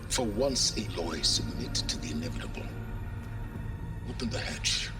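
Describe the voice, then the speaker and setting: Game role: middle-aged manipulator, low register, articulate, condescending polish, under a loud score. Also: male voice, lively intonation, moderate pace. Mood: persuasive, pressing. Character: erudite, condescending, manipulative older male schemer. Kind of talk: measured video game voice acting. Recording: loud music behind. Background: loud game music.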